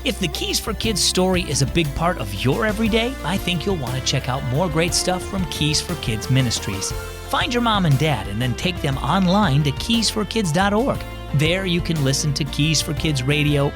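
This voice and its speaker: Lively man hosting a children's story show. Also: a little high. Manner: animated, expressive. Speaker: host and narrator of a children's devotional show.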